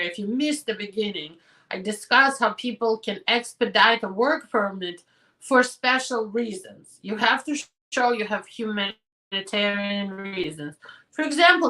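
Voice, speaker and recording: A woman immigration attorney hosting a live question-and-answer show, reading viewer questions and answering them directly while her voice is going hoarse. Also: on the high side, bright, forceful tone, recorded from a distance, rough recording. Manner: direct and advising, with quick practical answers.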